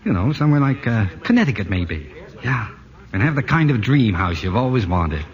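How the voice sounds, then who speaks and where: An actor playing a harried, middle-aged city ad man, brisk and exasperated, in 1940s radio acting. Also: on the low side, big pitch swings. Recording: dull old radio broadcast with music.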